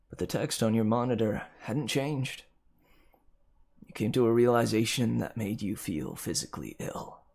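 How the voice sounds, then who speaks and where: A man reading a dark second-person story aloud as scripted narration, with dramatic emphasis that swells and drops. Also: mid-range voice, vocal fry. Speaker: amateur story reader.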